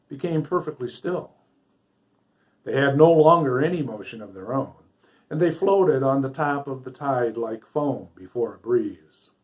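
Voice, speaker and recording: A man narrating a fairy tale for a story hour, with dramatic, deliberate phrasing. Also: mid-range voice, darkish tone. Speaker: storybook reader.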